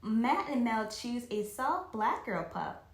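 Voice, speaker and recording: A woman reading a children's story aloud in an expressive, animated way, occasionally stumbling and rereading a phrase. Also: on the high side, takes it slowly, roomy sound.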